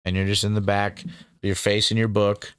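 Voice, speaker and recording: A man's voice: on the low side, completely flat delivery, moderate pace, clean tone, no room sound, clean studio sound. A man hosting a casual interview, rambling and swearing freely, with lots of fillers. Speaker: interviewer and channel host.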